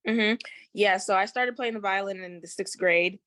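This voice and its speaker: A young woman from Haiti chats as a guest in an interview, loose and slangy, with plenty of likes and ums. Her mid-pitched voice comes through close and dry, with no room sound around it. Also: normal speed, no echo. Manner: relaxed, slangy, with frequent fillers. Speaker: singer, guest. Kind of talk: casual interview chat.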